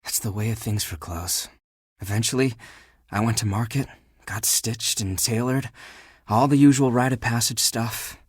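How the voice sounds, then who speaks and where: Game role: living laundry heartthrob male actor, husky and breathy, casual flirt with an insecure streak, close dry sound. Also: mid-range voice, moderate pace, no room sound, lightly acted. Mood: wistful. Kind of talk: video game dating character acting.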